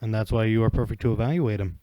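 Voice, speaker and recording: A man playing a calm, assured senior investigator with a composed, authoritative manner in an anime fan fiction audio drama. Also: fairly low pitch, quick pace, no room sound, muffled recording, lightly acted. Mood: firm.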